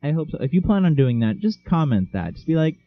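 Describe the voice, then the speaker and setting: A man's voice: on the high side, sing-song, fast talker, dry recording, narrow, tinny audio. A teenage boy co-hosting a casual podcast, joking and teasing his friend while telling stories. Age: teens. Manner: joking, teasing, tells stories with big laughs. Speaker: podcast co-host. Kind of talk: casual chat.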